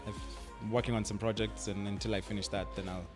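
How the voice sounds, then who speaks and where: A man's voice: fairly low pitch, a little fast, music in the background. A young Kenyan man, an actor, chatting easily in a radio interview, laughing often and filling with "like" and "I mean". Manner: relaxed, laughs often, uses "like" and "I mean" a lot. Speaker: actor guest. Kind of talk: radio interview, casual chat.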